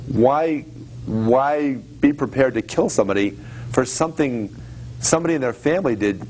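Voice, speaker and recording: A man hosting a casual talk-show conversation, speaking in a halting, reflective way and often repeating words as he thinks. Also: medium pitch, darkish tone, low-quality recording. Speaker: host. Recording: faint background noise.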